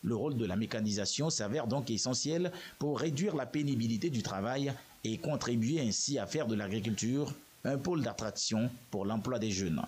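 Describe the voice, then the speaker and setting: A man's voice: mid-range voice, normal speed, darkish tone, husky. A man delivering formal scripted narration.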